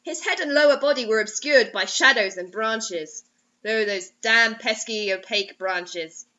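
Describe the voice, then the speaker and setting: A woman's voice: fairly high pitch, unhurried, bright, forceful tone, highs cut off. A woman reading fan fiction aloud for comedy, breaking off into sarcastic, mock-dramatic asides.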